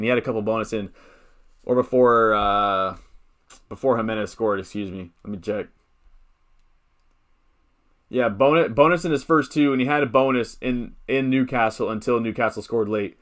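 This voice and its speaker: A man in his late twenties hosting a casual live stream from his computer. He rambles, restarts his sentences and thinks out loud, at an ordinary pitch for a man. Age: 20s. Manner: rambling, thinks aloud with many restarts and fillers; chats with viewers, gives shout-outs. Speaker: fantasy football streamer.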